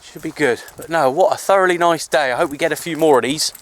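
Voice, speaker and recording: A man's voice: fairly high pitch, quick pace. A man chatting casually and in a rambling, easygoing way for an outdoor hobby vlog, recorded on a handheld camera in the countryside. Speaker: amateur CB radio hobbyist vlogger.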